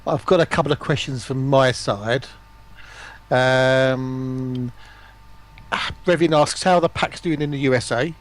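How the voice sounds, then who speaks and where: Mid-pitched man, casual stream co-host. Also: unhurried. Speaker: stream co-host reading questions. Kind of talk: casual chat.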